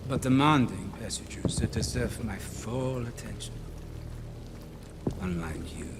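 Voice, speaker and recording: An actor playing a sadistic, cultured Nazi officer in a video game, with a slow, mockingly polite, theatrical delivery. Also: male voice, medium pitch, loud music behind the voice, lightly acted. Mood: mocking menace. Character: sadistic Nazi officer, cultured, coldly amused, menacing interrogator. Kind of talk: cinematic video game acting. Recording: rain and heavy ambient noise.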